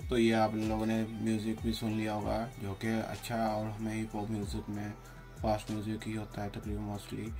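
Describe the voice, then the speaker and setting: A man narrating an unscripted software tutorial, explaining plainly step by step. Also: fairly low pitch, completely flat delivery, moderate pace. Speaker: tutorial host. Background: background music.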